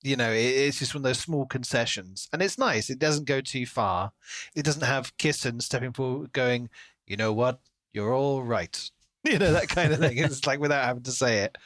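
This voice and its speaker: A man's voice: mid-range voice, moderate pace, a bit bright, dry recording. Man chatting casually about books, dry close sound. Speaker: podcast co-host. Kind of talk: casual book discussion.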